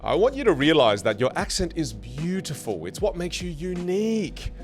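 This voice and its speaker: A man whose first language is Teochew, teaching communication skills in an online English lesson with an animated, jokey delivery. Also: a little high, normal speed, music in the background.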